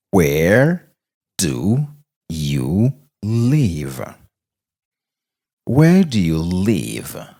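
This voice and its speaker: A man with a Haitian Creole accent teaching English, repeating each phrase slowly word by word and explaining in Creole. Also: low-pitched, warm tone.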